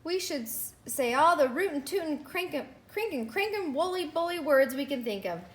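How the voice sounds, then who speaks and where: Woman as brisk high-voiced school nurse. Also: expressive. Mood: decisive. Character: brisk, bossy female school nurse, no-nonsense yet silly-worded.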